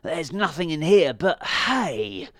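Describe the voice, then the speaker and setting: A man's voice: fairly high pitch, lively intonation, unhurried, a bit bright, no room sound, studio quality, pitched above the performer's own voice. A man voicing a selfish, hungry dog in a children's fable, with a grumbling manner. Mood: grumpy. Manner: growling, grumbling. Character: selfish hungry male dog, grumpy storybook animal.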